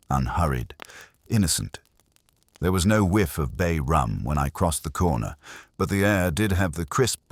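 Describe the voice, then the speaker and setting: A man's voice: a bass voice, no room sound. A man narrating a first-person detective story in a wry, hard-boiled manner. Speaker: audiobook narrator.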